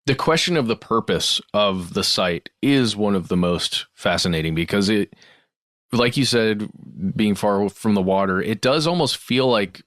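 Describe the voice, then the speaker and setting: Male co-host, slightly low voice, casual discussion style, dry and close recording. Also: a bit bright, dry recording. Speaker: podcast co-host.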